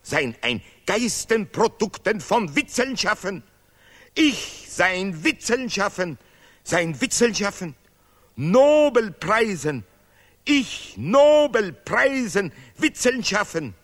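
In a long, ringing reverb, a pompous scholar declaims for a stylized radio play. His fairly high voice swoops widely as he chants broken, repeated phrases with stubborn contempt. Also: very animated, takes it slowly, a bit bright, exaggerated voice. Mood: grand, boastful. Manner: declamatory, emphatic, chanting broken German with repetitions. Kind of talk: stylized avant-garde radio play acting.

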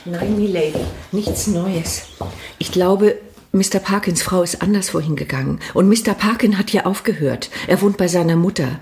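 An actress playing a deferential, discreetly gossipy household servant in a radio drama, speaking in a measured way. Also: female voice, fairly low pitch, a little mellow, natural voice. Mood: discreetly informative.

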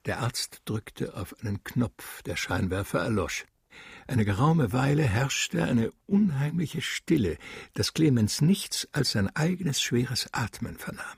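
A man narrating a literary radio drama in a grave, deliberate manner. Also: mid-range voice, animated, creaky, breathy edge, dry recording.

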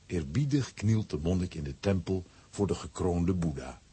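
A man narrates a children's story in a very low voice. It is strikingly husky, and the pitch moves in lively swings. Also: moderate pace. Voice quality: very husky, rough.

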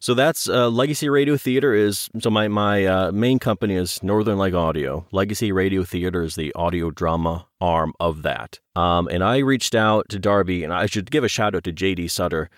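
A middle-aged man with a low-pitched voice, recorded close and dry. He talks in a relaxed, conversational way as an interview guest, with enthusiasm and some self-deprecating humor. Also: normal speed, few pauses, dry recording. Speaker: audiobook and audio drama producer, interview guest.

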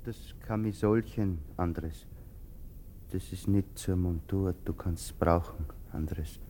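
An actor in a German radio play voicing a resigned, melancholy soldier of thirty, halting and brooding. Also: male voice, low voice, warm tone, slight background noise. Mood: resigned, tender. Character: resigned, haunted thirty-year-old common soldier, simple and melancholy.

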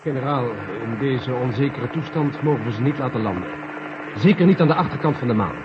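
A male actor plays an insistent space official in a vintage radio drama. His mid-pitched voice swings widely and emphatically. The recording is muffled and clearly noisy. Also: animated, a little fast, lightly acted. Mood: grave, insistent. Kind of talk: 1970 Dutch radio drama acting.

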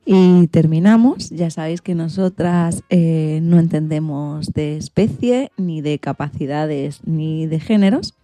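A woman's voice: deep voice, a little slow, hardly any pauses, warm tone, dry recording. A woman with a Spain Spanish accent, presenting a scripted magazine radio show as its host.

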